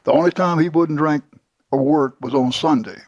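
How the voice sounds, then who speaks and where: A man's voice: a little high, normal speed, darkish tone, dry recording, highs cut off. An older man telling his life story in an interview, plainly and with many restarts. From Houston, Texas. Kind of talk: oral history interview.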